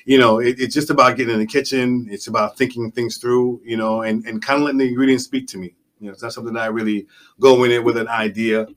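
Male podcast guest, chef, Jamaica-born and Bronx-raised. Mid-pitched voice, casual interview talk, reflective and colloquial. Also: flat delivery, fast. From Jamaica, raised in the Bronx, New York.

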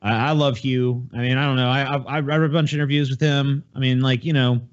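A man's voice: mid-range voice, steady tone, fast, clear, resonant tone, lo-fi sound. A man co-hosting a podcast, chatting casually in a blunt, profane, rambling way. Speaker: podcast co-host. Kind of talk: casual chat.

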